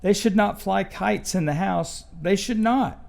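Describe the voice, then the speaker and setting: A man's voice: sits high, unhurried, vocal fry, darkish tone, voice pushed up. A man reading a children's storybook, voicing a fussy, indignant little pet fish with an emphatic, scolding delivery. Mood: fretful. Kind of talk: children's storybook read aloud.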